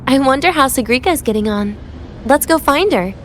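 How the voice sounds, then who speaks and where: A woman playing a composed young student in a video game, with dry humor and a gently teasing manner. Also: high voice, lively intonation, normal speed, a little hiss or hum.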